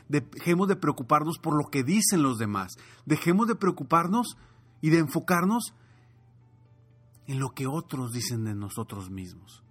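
Male motivational storyteller: husky, earnest, emphatic, mid-pitched, soft music behind. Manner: earnest, emphatic, repeats phrases. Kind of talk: inspirational storytelling and talk.

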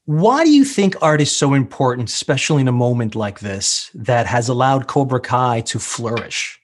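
A male interviewer asks long, winding questions at an ordinary pitch, setting up the context before he gets to the point. His tone stays casual and conversational. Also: expressive, normal speed.

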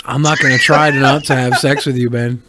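A man's voice: mid-range voice, steady tone, a little fast, very noisy. A man co-hosting a podcast with casual, crude, joking banter. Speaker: podcast co-host.

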